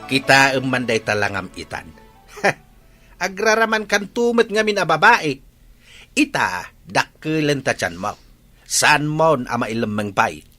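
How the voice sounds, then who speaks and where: A hot-tempered father in a radio drama, scolding in a forceful, animated voice. He speaks with a Filipino accent, fairly high for a man. Light music sits underneath. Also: unhurried, long pauses. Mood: stern. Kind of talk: Ilocano radio drama acting.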